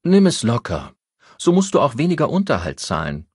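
A man voicing a brisk, frank older grandmother with a teasing, matter-of-fact manner in an audiobook. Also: medium pitch, wildly swooping pitch, a little mellow, slightly rough, no room sound, clean studio sound, voice pushed up, full character voice. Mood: calm, teasing.

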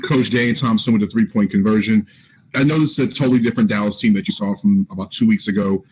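A man's voice: fairly low pitch, quick pace, a little mellow, slightly rough, poor audio. A man, a sports reporter, asking a coach a question in an announcer-like way.

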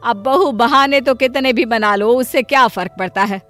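A practical older mother-in-law in an animated family drama , played by a woman. She chides and nags in a matronly manner, with music behind her. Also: a little high, normal speed, natural voice. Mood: nagging. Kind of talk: animated Hindi family story dialogue.

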